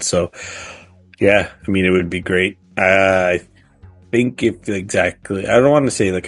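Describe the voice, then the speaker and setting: A man co-hosting a podcast in a casual, halting, conversational way. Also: low voice, breathy edge. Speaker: podcast co-host. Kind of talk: casual chat about video games.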